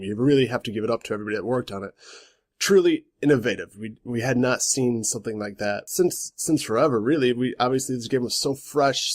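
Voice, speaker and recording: A man's voice: mid-range voice, dry recording, studio quality. A man hosting a casual gaming news vlog, sounding congested and nasal from a cold, rambling and correcting himself as he goes. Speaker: host.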